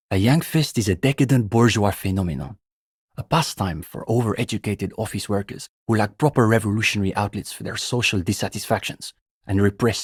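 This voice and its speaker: A young student revolutionary for a video game, earnest and self-important. His voice sits fairly low with a husky grain, recorded close and dry. He speaks like a bookish intellectual, precise and given to lecturing. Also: no room sound. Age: young adult. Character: earnest young male communist student, pompous intellectual zealot. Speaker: student leader of a revolutionary reading group. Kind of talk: video game character dialogue.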